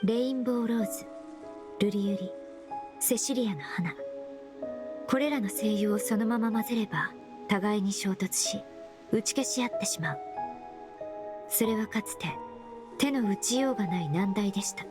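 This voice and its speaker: A woman voicing a polite, analytical young perfumer, speaking in a gentle, precise and measured way for an anime game. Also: medium pitch, over music, natural voice. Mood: composed explaining.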